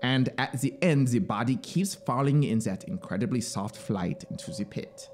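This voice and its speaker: A man's voice: mid-range voice, lively intonation, moderate pace, a little mellow, slight background noise, close to an everyday voice. A man playing a zealous, scheming penal colony officer in a story, emphatic and persuasive. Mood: nostalgic, fervent. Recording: clear background synthesizer ambience.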